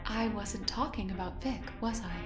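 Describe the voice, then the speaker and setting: An actress playing a smooth, patronizing woman who directs a research project on a spaceship in an audio drama, with mock-sweet control. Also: mid-range voice, normal speed, natural voice. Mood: pointed, accusing. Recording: roomy, echoey sound, music underneath. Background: music.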